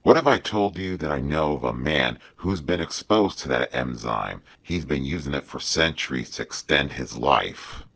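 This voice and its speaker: A man voicing a grim, commanding masked vigilante in a terse, stern, gravelly growl while telling a story. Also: rumbling low, expressive, normal speed, hardly any pauses, fairly bright tone, pitched below the performer's own voice, exaggerated voice. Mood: grim, measured. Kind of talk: amateur storytelling character voice.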